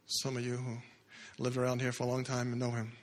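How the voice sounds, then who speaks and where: A man's voice: medium pitch, monotone. Earnest older man in an echoey hall. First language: not English. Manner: earnest storytelling, halting restarts. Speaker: church speaker giving testimony. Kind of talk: personal testimony talk. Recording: echoey hall with long reverb.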